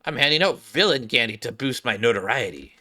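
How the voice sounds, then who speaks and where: A man's voice: medium pitch, lively intonation, fairly quick, sharp tone. A man voicing a boastful, easily exasperated young female villain in a game, brash and indignant. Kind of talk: game cutscene read-aloud.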